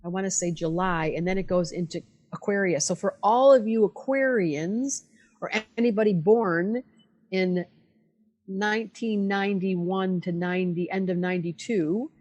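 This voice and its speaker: An older woman astrologer talking bluntly in a casual conversation, animated and acting out the voices of the people she quotes. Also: medium pitch, moderate pace, lo-fi sound. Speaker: astrologer guest.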